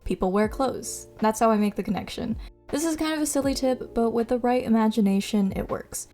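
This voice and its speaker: A woman's voice: a little high, fairly quick. A young woman whose first language is Mandarin, chatting casually in a vlog voice-over about how she studies, with plenty of likes and ums. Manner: chatty, self-deprecating, lots of likes and ums. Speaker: vlogger teaching her own study method. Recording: soft music behind.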